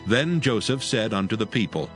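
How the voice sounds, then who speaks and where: A man with a low voice narrates scripture solemnly. His intonation moves expressively across each verse, and music plays softly beneath. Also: expressive, normal speed.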